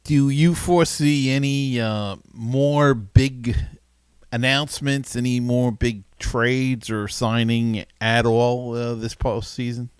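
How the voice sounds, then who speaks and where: Clear-voiced male podcast host, halting delivery. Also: mid-range voice, steady tone, no room sound, studio quality. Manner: hesitant, halting questioning. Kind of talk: casual podcast interview.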